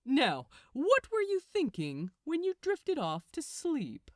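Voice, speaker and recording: A woman's voice: sits high, huge pitch swings, slow, dry recording, clean studio sound, voice pushed up, heavily acted. A woman voicing a smug, impatient male angel with a brash, sarcastic, exasperated manner in a storybook reading. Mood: impatient, bossy.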